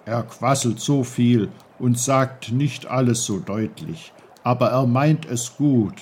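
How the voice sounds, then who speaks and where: A man voicing a gruff, grumbling old uncle with a short temper, in a storybook reading. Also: medium pitch, takes it slowly, darkish tone, pitched below the performer's own voice. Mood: explaining.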